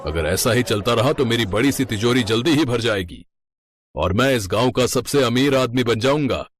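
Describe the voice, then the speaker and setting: A man playing a greedy, scheming, boastful village sweet-shop owner in an animated moral story. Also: mid-range voice, moderate pace, no room sound, a faint crowd, close to an everyday voice. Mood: gloating. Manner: gloating, dismissive, boastful.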